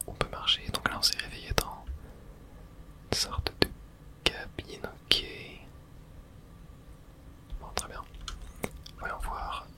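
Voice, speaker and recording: A person whispering a breathy, unvoiced ASMR running commentary while playing a video game, in a soft, hesitant murmur. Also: slow, long pauses. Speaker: gaming video host.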